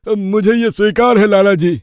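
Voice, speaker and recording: A man's voice: sits high, steady tone, normal speed, clean tone, no room sound, clean studio sound. A man voicing a poor village father in a moral-story cartoon, humble, earnest and deferential as he pleads. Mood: humble, pleading.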